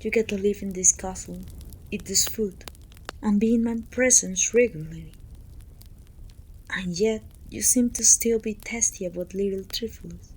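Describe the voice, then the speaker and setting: A woman playing a pompous, self-pitying evil queen who talks down to her servant in an intimate, breathy ASMR roleplay, often dropping toward a whisper. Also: mid-range voice, measured pace, a little mellow, a little hiss or hum, natural voice, rough recording. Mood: haughty, scolding. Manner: pompous, petulant, theatrical sighing complaints.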